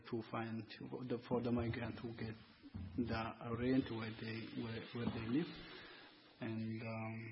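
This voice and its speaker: A man's voice: medium pitch, noisy background. Man, searching for words. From Ethiopia. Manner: searching for words, broken phrasing with many fillers. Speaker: student and panel speaker. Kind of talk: unscripted discussion. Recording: dull lo-fi recording, faint ambience.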